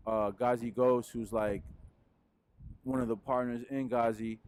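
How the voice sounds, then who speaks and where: A young man talks loosely and unhurriedly into a handheld microphone, trailing through fillers as his thoughts wander. His pitch sits mid-range for a man, and the delivery is casual and unpolished. He's indoors. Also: steady tone, measured pace, darkish tone, smooth, dry recording. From Peru. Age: young adult. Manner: laid-back, rambling, frequent fillers. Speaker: musician guest. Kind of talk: casual interview answers. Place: indoors in front of a wooden wall. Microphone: handheld mic.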